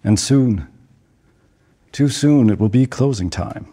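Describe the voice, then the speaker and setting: A man performing a poetic dramatic monologue as a stage actor, in a measured, pensive, theatrical way with deliberate pauses. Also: medium pitch, mellow.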